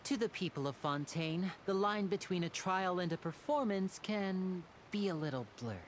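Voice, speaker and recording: A woman's voice: fairly low pitch, expressive, moderate pace, slight background noise, lightly acted. A woman playing a suave, courteous young male stage magician in an anime video game, polished and theatrical. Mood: gracious, inviting.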